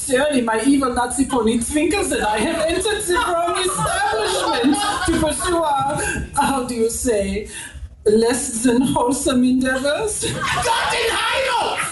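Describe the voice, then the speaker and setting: Shrill movie villain. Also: very animated, unhurried, noisy background. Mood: theatrical dismay. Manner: melodramatic, mock-menacing. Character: campy cowardly German Nazi movie villain. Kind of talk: comic radio drama. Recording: roomy, distant, loud music behind.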